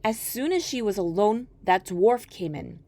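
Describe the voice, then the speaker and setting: A woman storyteller reading a folktale aloud in an expressive, scripted narration style. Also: mid-range voice, a little slow, no echo.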